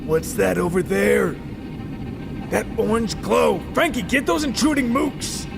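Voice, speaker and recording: A man's voice: high-pitched, unhurried, vocal fry, over music, voice pushed up, heavily acted. A man voicing a bullying giant spider who talks like a tough mobster. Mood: alarmed, angry. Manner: tough-talking mobster delivery. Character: menacing male giant spider gangster, bullying.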